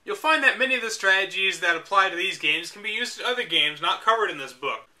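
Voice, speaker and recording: A young man reads an outdated game guide aloud, cutting in with dry, mocking remarks. His voice sits high and sounds very bright and sharp. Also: expressive, fast talker. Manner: mocking, dry asides between read passages. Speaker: host reading a book aloud. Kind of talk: reading aloud with sarcastic commentary.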